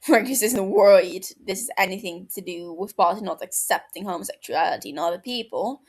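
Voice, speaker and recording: Creaky-voiced woman narrating fiction. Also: mid-range voice, brisk, darkish tone. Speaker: amateur audiobook narrator.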